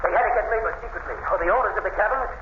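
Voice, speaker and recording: A man playing a crime boss's blunt, excitable henchman in a 1930s radio drama. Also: sits high, very fast, lightly acted. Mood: bitter, confessing. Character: crime boss's henchman, resentful gas-mine worker. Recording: tinny, echoey, noisy 1930s radio.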